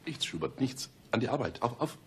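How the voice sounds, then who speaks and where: An actor playing a commanding, sardonic police chief inspector in a German radio crime play, mock-polite and ironic. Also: male voice, medium pitch, very animated, fairly quick, noisy background, natural voice. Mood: brusque, sardonic.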